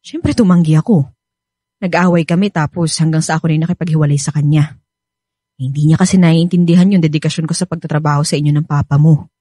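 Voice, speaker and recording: An actress playing a devoted, motherly middle-aged nanny with a Filipino accent in a radio drama, husky and shyly confiding. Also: deep voice, steady tone, fast, mellow, dry recording, studio quality. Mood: wistful, resigned.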